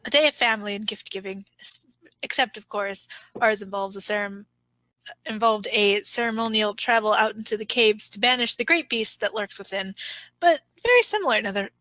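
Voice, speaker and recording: A woman's voice: medium pitch, moderate pace, bright, forceful tone, no echo, lightly acted. A woman voicing a gracious, earnest young alien princess with a formal, polite manner in a fan audio drama. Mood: warm, formal.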